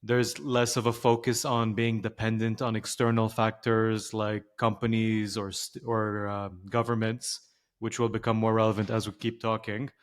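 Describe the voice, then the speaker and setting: Low, flat-voiced man in his twenties. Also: normal speed. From Lebanon and Dubai. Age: 20s. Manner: relaxed, reflective, heavy on filler words. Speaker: podcast guest. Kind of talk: casual conversation.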